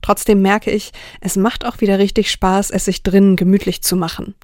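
A woman's voice: mid-range voice, little pitch movement, moderate pace, darkish tone. A woman with a mid-range voice introduces a programme for children. Her delivery is friendly and scripted, addressed straight to young listeners. The studio sound is dry, with no room echo. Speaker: children's radio host.

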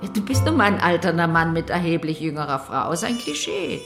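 A woman's voice: lowish voice, animated, fairly quick, echoey room, faint music behind, lightly acted. An actress playing a frank, sardonic but caring woman in her sixties in a radio drama, speaking in a dry, articulate way. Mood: dryly mocking. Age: 60s. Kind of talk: naturalistic radio drama acting.

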